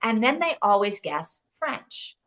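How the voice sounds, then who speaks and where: A woman's voice: a little high, very animated, slow, fairly bright tone. Woman co-host, chatty and casual, video-call sound. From dad Saudi, mom German. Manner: chatty, lots of "like" and "right?". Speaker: book club co-host. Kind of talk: casual livestream chat.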